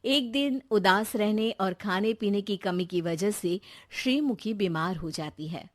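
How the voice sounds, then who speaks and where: A woman's voice: medium pitch, a little slow. A woman narrating a scripted moral family story as a storyteller.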